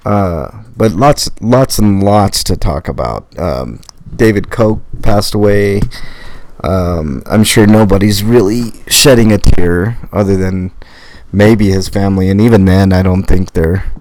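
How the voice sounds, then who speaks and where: Hoarse male podcast host, very low pitch, blunt and rambling. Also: unhurried, darkish tone, no echo. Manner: rambling, filler-heavy, blunt. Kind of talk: casual live-stream chat.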